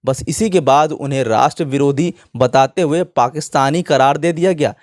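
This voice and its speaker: A man's voice: on the high side, moderate pace, a little mellow, no room sound, studio quality. A man presenting opinionated online news commentary in an indignant manner, often posing rhetorical questions.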